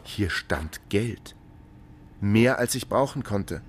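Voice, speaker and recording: Wry male sleuth for a crime radio play, low register, matter-of-fact first-person delivery. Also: lively intonation, slow pace, a little hiss or hum. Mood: dry narrating. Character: wry male investigator narrating his undercover caper.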